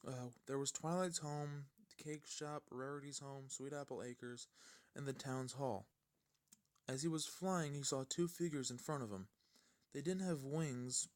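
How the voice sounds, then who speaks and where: A man's voice: mid-range voice, a little mellow. A man reading fan fiction aloud as an amateur narrator, stumbling now and then and rereading his words.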